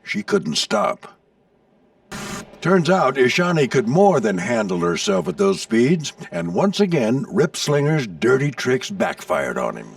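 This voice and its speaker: An actor playing a seasoned navy plane, a gruff, folksy mentor telling stories with authority in an animated video game. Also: male voice, medium pitch, unhurried, no room sound, far from the mic. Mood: wry, nostalgic. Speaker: commanding instructor recounting past races.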